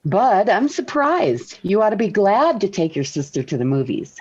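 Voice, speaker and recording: A gracious housewife and mother in vintage radio comedy. Her manner is polite and gently hesitant, at a middling pitch, on a dull old recording. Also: big pitch swings, normal speed, slightly rough. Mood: gently chiding. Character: gracious, tactful suburban mother and hostess. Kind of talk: 1940s radio sitcom acting.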